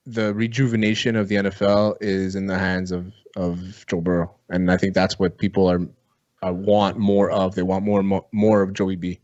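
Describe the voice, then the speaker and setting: A man's voice: low voice, flat delivery, normal speed, darkish tone, dull audio. Blunt man bantering about sports. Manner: blunt, profane, opinionated. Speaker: sports podcast co-host.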